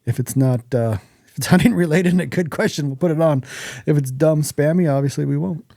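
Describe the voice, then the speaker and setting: A man's voice: on the high side, a little fast, creaky, mellow, no room sound, studio quality. A man co-hosting a podcast in casual, joking chat with some swearing. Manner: informal, joking, profane. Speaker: podcast co-host.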